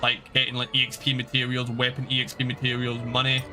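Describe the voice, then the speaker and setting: A man's voice: mid-range voice, monotone, fast. A man giving casual, chatty live commentary as he streams a video game. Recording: music playing behind the voice.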